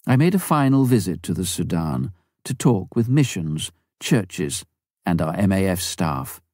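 A man reading a first-person memoir aloud as an audiobook narrator, in a measured, earnest manner. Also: sits low, big pitch swings, a little mellow, no room sound, studio quality. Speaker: audiobook reader.

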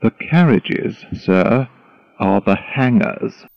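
An actor playing a foppish, flattering courtier in a Shakespearean audio drama, with a mannered, flowery, deferential delivery. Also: male voice, on the low side, takes it slowly, no echo, highs cut off. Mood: affected, eager. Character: foppish, flattering young male courtier, wordy and eager.

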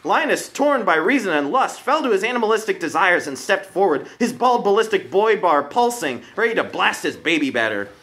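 A man's voice: sits high, sing-song, a little fast, hardly any pauses, fairly bright tone. A man reading a scripted comic story as a theatrical, dramatic narrator.

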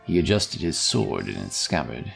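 A man's voice: extremely low pitch, sing-song, moderate pace, gravelly, faint music behind. A man reading scripted fantasy narration as the storyteller.

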